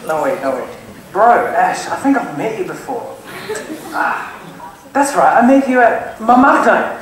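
A man's voice: high-pitched, very animated, a little slow, far from the mic. A young man performing a casual, joking skit on a large stage, part of a student production. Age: young adult. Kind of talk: amateur stage play dialogue. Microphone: far stage microphones. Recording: very echoey hall with noise.

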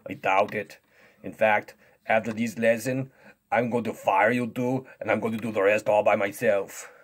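Man playing a loud, pompous strongman braggart. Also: lowish voice, steady tone, moderate pace, husky. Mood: boastful, scornful. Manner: pompous, loud, sulky and dismissive. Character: vain, boastful, dim-witted strongman who belittles tiny kids. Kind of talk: comic kids' maths lesson skit.

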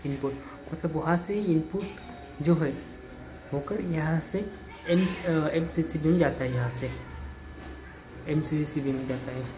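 A man explaining an installation in a casual walkthrough, halting and repeating words, filmed on a handheld phone on a rooftop and indoors. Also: fairly high pitch, over music, poor audio. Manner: halting, repeats words and restarts while pointing things out. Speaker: electrician showing an installation. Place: rooftop outdoors, then indoor stairwell and wall. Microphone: handheld phone camera, filming himself off-frame.

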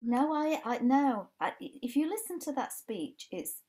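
A woman's voice: high-pitched, animated, a little slow, dark tone. A woman in her forties with a Yorkshire accent, a life coach guest chatting earnestly on a video call from home, often restarting her sentences. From England. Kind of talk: casual podcast chat. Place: home room with brick wall.

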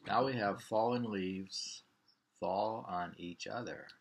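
An older man in his sixties reading poems aloud at home with informal, halting commentary, repeating lines and names. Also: fairly low pitch, creaky, husky. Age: 60s. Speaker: poetry reader. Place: small living room at home.

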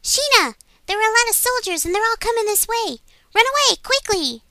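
Squeaky, high little fox spirit. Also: female voice, sing-song, brisk, pushed and bright, no room sound, exaggerated voice. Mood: urgent alarm. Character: small excitable fox-like spirit, loyal and protective. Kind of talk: amateur anime game dub audition.

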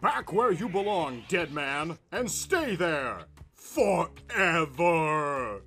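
A man's voice: a little high, big pitch swings, extremely slow, a bit bright, breathy edge, heavily acted. A man playing a stern, scheming husband, melodramatically, in a horror comic reading. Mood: vengeful. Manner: melodramatic, emphatic.

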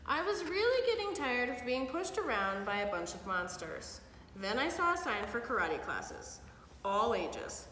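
Animated woman reading aloud in an echoey room. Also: a little high, measured pace. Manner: expressive, animated storytelling. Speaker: children's storybook reader. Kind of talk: read-aloud of a picture book for children. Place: living room, indoors. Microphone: camera a few feet away. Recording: echoey hard-walled room, some background noise.

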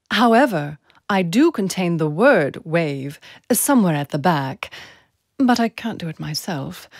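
Chatty, lively talking dictionary. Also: female voice, lowish voice, no room sound, clean studio sound. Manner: friendly, wordy, explaining words. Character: chatty, eager talking dictionary, proud of its words. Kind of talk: audio play for a museum.

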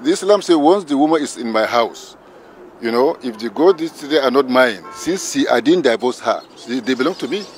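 An older Nigerian man answering a street interview in a halting, matter-of-fact way into a handheld mic. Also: medium pitch, animated, soft background music. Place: busy city street outdoors. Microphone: handheld mic held by interviewer. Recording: street traffic noise.

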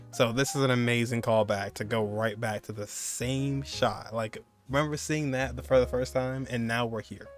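A man in his twenties giving loose, conversational, slangy reaction commentary as a video host. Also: medium pitch.

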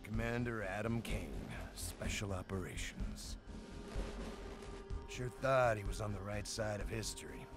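A man performing as a solemn storyteller, narrating a video game in deliberate, clipped, dramatic phrases. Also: on the low side. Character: grave male storyteller introducing soldiers' tales.

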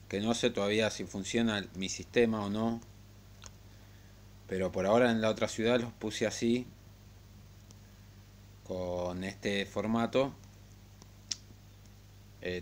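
A man giving a casual, unscripted game tutorial in a halting, rambling way. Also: on the low side. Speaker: gaming tutorial host. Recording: clear background noise and hum.

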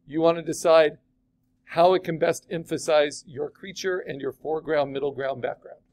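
Guy teaching a photoshop class, kinda high voice, chatty, keeps asking the room stuff. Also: steady tone, normal speed, a little mellow. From California. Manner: chatty, asks the class questions. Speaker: art instructor. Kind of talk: classroom software demo.

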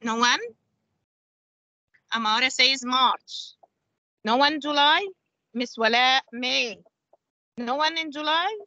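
A middle-aged woman from Palestine hosting a webinar, reading out chat answers slowly with many fillers, over a video call. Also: high-pitched, pushed and bright, no room sound. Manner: halting, many fillers, slow careful reading. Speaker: webinar host. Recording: dull lo-fi video call audio.

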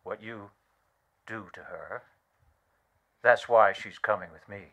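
A man in a radio play acting an earnest, regretful conspirator who justifies himself haltingly and dramatically. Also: fairly low pitch, fairly even intonation, a bit bright. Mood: grave, accusing.

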